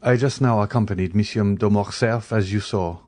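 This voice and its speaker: Man playing an earnest, indignant young gentleman. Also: on the low side, normal speed, lightly acted. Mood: stiffly courteous. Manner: formal, stiffly courteous, insistent.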